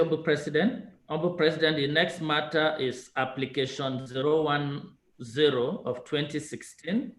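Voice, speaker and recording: A man's voice: medium pitch, fairly bright tone. A man making a formal courtroom announcement as the registrar. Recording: echoey room.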